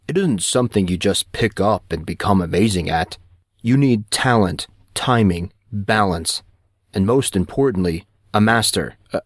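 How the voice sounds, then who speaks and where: A man's voice: sits low, no echo, clean studio sound. A man voicing an eager, slightly sheepish teenage boy in an audiobook, explaining emphatically. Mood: emphatic. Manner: hesitant starts, stammering, emphatic explaining.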